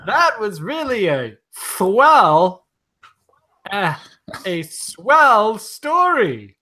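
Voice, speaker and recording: Man as high-voiced, animated radio announcer. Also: very slow, heavily acted. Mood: cheerful. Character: radio announcer, cheerful, folksy, puzzled straight man. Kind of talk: old-time radio comedy acting.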